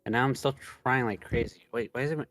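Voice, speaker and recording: A man's voice: mid-range voice, fairly even intonation, fast talker, a bit bright. A man chatting casually as co-host of a gaming live stream, joking in a mock-whiny way. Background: music.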